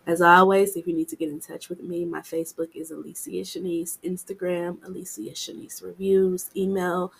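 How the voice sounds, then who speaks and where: A woman's voice: lowish voice, flat delivery, normal speed, creaky, few pauses, breathy edge, poor audio. Chatty woman podcast host with a cold. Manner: chatty, slangy, upbeat address to listeners. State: getting over a cold. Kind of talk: casual TV recap podcast.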